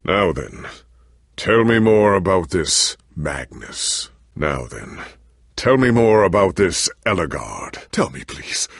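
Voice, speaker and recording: A man playing a weary, ailing, confused warrior hero in a video game, with husky gravelly voice and formal, grave diction. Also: low voice, big pitch swings, takes it slowly, no room sound, clean studio sound, heavily acted. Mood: courteous, grave. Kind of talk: dramatic video game voice acting.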